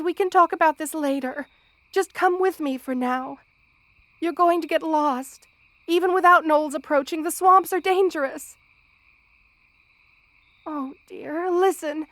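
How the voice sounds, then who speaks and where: A woman's voice: extremely high pitch, no echo. A woman playing a kind, protective spider queen with a tender, formal manner in an ASMR roleplay. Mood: alarmed, pleading. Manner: tender, doting, softly commanding, formal wording. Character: motherly female spider queen, kind, protective, regal monster. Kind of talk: ASMR roleplay audio drama.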